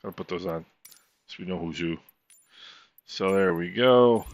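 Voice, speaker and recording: A man streaming a flight simulator live, relaxed and chatty, calling out checklist items and greeting viewers in chat. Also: deep voice, normal speed. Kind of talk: live gameplay commentary. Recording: dull lo-fi audio with steady background noise.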